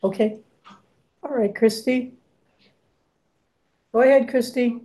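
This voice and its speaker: A woman runs a public meeting over a video call, calling on speakers with short, relaxed prompts. She talks slowly, in a fairly high voice, and stays easygoing throughout. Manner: slow, relaxed, brief cues, laughs easily. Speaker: meeting chair.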